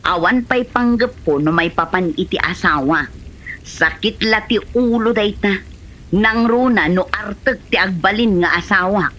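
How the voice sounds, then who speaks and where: A woman's voice: mid-range voice, a little slow, a bit bright, rough recording. A woman with a Filipino accent playing a stubbornly single, exasperated village farm woman in a comedy drama. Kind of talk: comedy radio-style drama acting.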